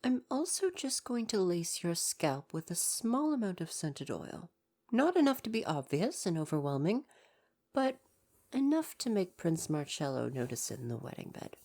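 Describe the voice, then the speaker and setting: A man's voice: high-pitched, very animated, moderate pace, a little mellow, exaggerated voice. A man playing a devoted, proper royal butler in an ASMR roleplay, speaking to the listener in a formal, deferential, fussily attentive way.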